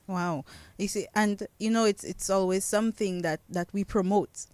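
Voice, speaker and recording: A woman from Dominica hosting a relaxed radio interview, asking questions and encouraging her guests. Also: mid-range voice, normal speed, dry recording. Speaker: radio host. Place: radio studio.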